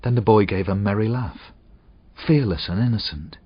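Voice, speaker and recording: A man with a husky voice reads a short story aloud in an expressive, suspenseful way. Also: fairly low pitch, normal speed, dry recording. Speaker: audiobook narrator. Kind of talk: dramatic short story reading. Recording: dull, muffled audio.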